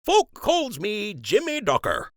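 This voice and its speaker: A man's voice: sits high, wildly swooping pitch, slow, dry recording, clean studio sound, pitched above the performer's own voice, exaggerated voice. A man voicing a rambling, cheerful rabbit dock worker who talks in a folksy, ungrammatical country dialect.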